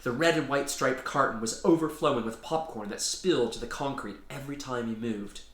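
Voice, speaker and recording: A man's voice: medium pitch, a little fast, a bit bright. A man reading a children's story as its storyteller, in an informal way. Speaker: author and storyteller. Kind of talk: animated children's story reading with casual introduction. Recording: roomy echo.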